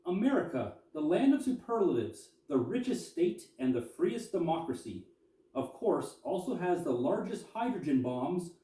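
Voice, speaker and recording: A man's voice: a little high, big pitch swings, moderate pace, warm tone. A man presenting as a collector and historian, reading articles aloud and adding informal commentary. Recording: echoey room, distant mic.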